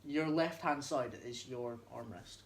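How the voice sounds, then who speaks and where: Young man, easygoing co-host, mid-pitched, joking, light noise behind him. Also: unhurried. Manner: laid-back, joking, searches for words. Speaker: podcast co-host. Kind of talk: casual chat.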